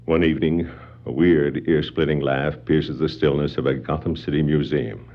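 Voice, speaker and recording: A man's voice: extremely low pitch, level tone, moderate pace, a little hiss or hum. A man giving dramatic scripted narration for a 1960s superhero adventure record. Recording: dull vintage vinyl record, music behind.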